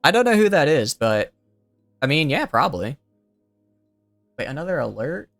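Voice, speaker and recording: A man's voice: on the high side, big pitch swings, normal speed, vocal fry, fairly bright tone, no echo, soft background music. A young man streaming a game, chatting casually and rambling as he thinks aloud, with a youthful-sounding voice. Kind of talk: casual gameplay commentary.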